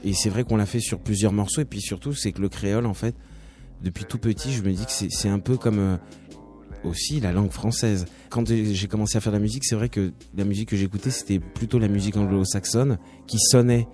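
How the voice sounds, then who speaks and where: Low mellow man chatting as music guest. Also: brisk. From France. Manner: relaxed, chatty, many restarts and "tu vois". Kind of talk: casual interview talk.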